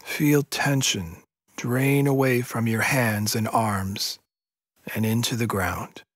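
A man guiding a sleep meditation in a soft, soothing, measured voice with long pauses between phrases. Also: mid-range voice, steady tone, dry recording, studio quality. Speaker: meditation guide. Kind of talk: guided yoga nidra sleep meditation.

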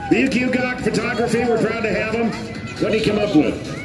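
A man announcing a rodeo over the arena loudspeakers, joking folksily with the crowd. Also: high-pitched, a little fast. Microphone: PA heard from phone in stands. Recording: echoey arena PA with loud music and crowd.

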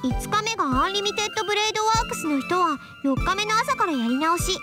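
A cheeky little girl with a shrill, very high childlike voice. She is peppy and overly polite, like a comic anime sidekick, over bouncy music. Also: fast talker. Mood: eager, explanatory. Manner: exaggerated perky junior-student politeness. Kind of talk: Japanese visual novel comedy acting.

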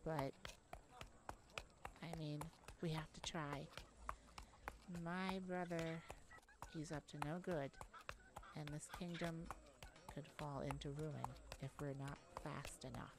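A brave, worried young princess, acted by a woman, speaks slowly and gently in an ASMR roleplay. Her voice is on the low side, earnest and hesitant, over clearly audible noise. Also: fairly even intonation. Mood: resolute. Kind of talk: ASMR audio roleplay to the listener.

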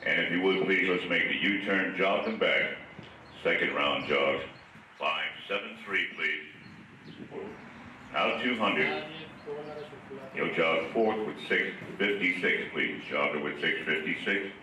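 A man announcing riders and results at a horse show over the public address, in a halting, measured delivery. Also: low voice, crowd noise. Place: outdoor horse show arena, heard over loudspeakers. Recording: echoey distant outdoor PA, noisy.

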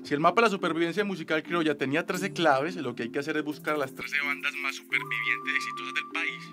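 A man's voice: on the high side, fast talker, music in the background, close to an everyday voice. A man playing a slangy Colombian musician phoning in to a comic radio drama. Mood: cocky. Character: desperate, street-talking male musician phoning in.

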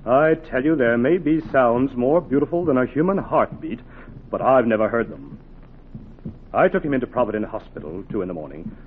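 A man's voice: mid-range voice, expressive, close to an everyday voice. An actor playing a dedicated, intense heart surgeon teaching his assistant, in dramatic, emphatic 1940s radio style. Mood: fervent. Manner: dramatic, emphatic, deliberate phrasing. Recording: noisy, narrow-band 1940s radio recording.

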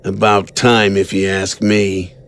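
A man's voice: sits low, monotone, slow, fairly bright tone, heavily acted. A man acting in an audio drama as a gleeful, snide bartender who serves an elder god, relishing his words.

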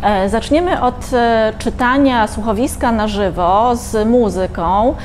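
A woman's voice: fairly high pitch, a little slow, soft background music. A middle-aged woman announcing events with a hesitant delivery full of fillers, seated in a bookshop cafe. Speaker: event host. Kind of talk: promotional announcement.

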